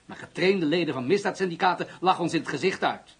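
A formal police commissioner in a radio play. His voice sits a little high for a man and is heavily husky. He argues firmly and with reason, defending his methods. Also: fast talker. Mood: insistent. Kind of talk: Dutch radio play acting.

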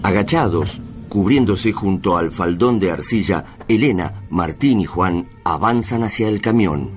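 A man's voice: low voice, unhurried, over music. A man with an Argentine accent narrating a radio drama in a dramatic, scripted style.

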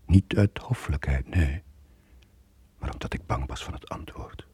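Deep, husky Flemish sailor, middle-aged. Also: male voice, warm tone, dry recording, whispered, exaggerated voice. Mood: brooding, regretful. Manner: brooding, halting confession. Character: weary, guilt-ridden middle-aged sailor, haunted and reluctant. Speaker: actor and narrator in a radio drama. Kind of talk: 1980s Dutch-language radio drama acting.

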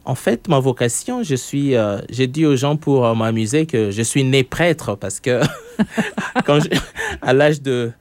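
Man, priest being interviewed, hesitant, lots of fillers, laughs a lot, mid pitch, dry close sound. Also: expressive, a little slow, a little mellow, no room sound. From Congo. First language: Tetela. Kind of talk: interview answers.

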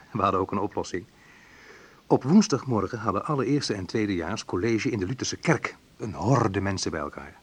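A man's voice: lowish voice, breathy edge. An actor playing a wry, sensitive young man who recalls his student love life in an intimate first-person radio play monologue.

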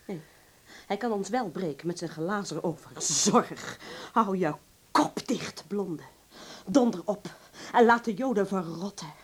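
A woman's voice: mid-range voice, a little slow, husky. An actress in a radio drama playing a bitter, overwrought woman in wartime hiding, sarcastic, raw and coarse-tongued. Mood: furious, scornful.

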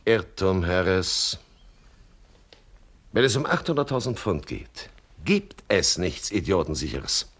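Husky, crafty gang boss. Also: male voice, low-pitched, very animated, takes it slowly, pauses often, fairly bright tone, heavily acted. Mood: crafty, businesslike. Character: genial, crafty gang boss laying out a heist. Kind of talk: 1970s German radio comedy-crime acting.

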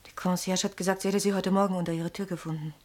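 A woman's voice: on the low side, steady tone, very fast, a little mellow, natural voice. An actress playing an anxious, sceptical woman who shields her companion, pointed and probing in a dramatic radio play style. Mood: hesitant, uneasy. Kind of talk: German radio play acting.

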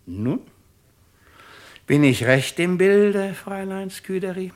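An actor playing a shrewd, pompous, mocking presiding judge in a 1950s radio play. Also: male voice, high-pitched, lively intonation, slow pace. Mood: animated, insinuating. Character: shrewd, sardonic male presiding judge of a French tribunal.

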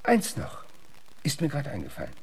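An actor playing a gruff, plain-spoken middle-aged frontier marshal in a German radio drama, halting, folksy and awkwardly courteous, with a gravelly voice. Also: male voice, mid-range voice, huge pitch swings, heavily acted. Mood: reassuring, confiding. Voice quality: gravelly, husky.

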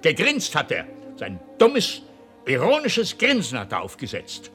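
A man's voice: fairly high pitch, huge pitch swings, a little slow, a bit bright, soft background music, exaggerated voice. An actor playing a domineering, hot-tempered middle-aged father and company boss in a radio drama, imperious and sarcastic. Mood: indignant, scornful.

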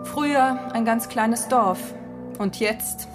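A woman's voice: on the high side, slow pace, over music. An actress playing a gracious, inquisitive society hostess and mother past middle age in a literary radio drama.

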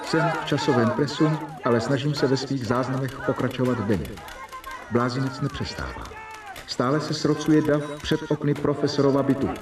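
A man's voice: medium pitch, lively intonation, crowd noise, natural voice. Earnest male medical assistant played by a male actor, radio drama diary monologue, roomy and distant. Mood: harried.

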